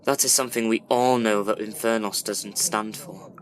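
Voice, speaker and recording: An actor playing an earnest, persuasive leader of celestial warriors in a fantasy audio drama, grave and reasoning. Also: male voice, lowish voice, fairly even intonation, normal speed, slight background noise, lightly acted.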